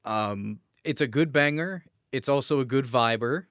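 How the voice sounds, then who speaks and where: A man's voice: medium pitch, moderate pace, fairly bright tone, smooth, dry recording, clean studio sound. A man co-hosting a podcast in casual comedic banter, joking with crude humour. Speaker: podcast co-host.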